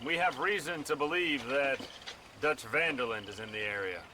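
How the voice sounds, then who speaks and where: A man's voice: mid-range voice, moderate pace, noisy background, natural voice. A man playing a smug, coercive Old West lawman in a video game, speaking in a formal, condescending way. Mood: smug, commanding. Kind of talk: dramatic video game acting.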